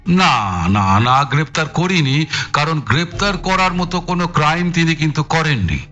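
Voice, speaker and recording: Brusque, sarcastic police inspector, slightly muffled. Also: male voice, a little high, animated, bright, forceful tone, faint music behind. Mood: stern, threatening. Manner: emphatic, needling, drawn-out taunting politeness. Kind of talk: audio drama acting.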